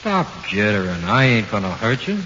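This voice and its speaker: Low-voiced, menacing gangster ghost, old radio. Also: male voice, big pitch swings, moderate pace, pushed and bright. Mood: taunting, firm. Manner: streetwise slangy tough talk. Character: ghost of an executed gangster, tough, coolly menacing. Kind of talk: 1940s radio horror acting. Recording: muffled hissy 1940s radio recording.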